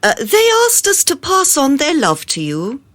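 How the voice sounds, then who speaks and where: A haughty madam of a sinister outfit, played by a woman in a radio drama. Her fairly high voice swoops wildly, and she lingers over her grandiose, sugary phrases. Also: wildly swooping pitch, fairly bright tone, no room sound, exaggerated voice. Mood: gleeful, grand. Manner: grandiose, mock-sweet, deliberate.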